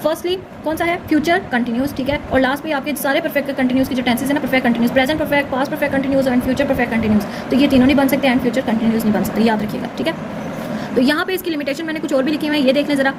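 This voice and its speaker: A woman's voice: high voice, fairly even intonation, racing, noisy background. A woman teacher explaining in an informal, instructional tutorial style, often checking in with short confirming tags. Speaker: English grammar teacher.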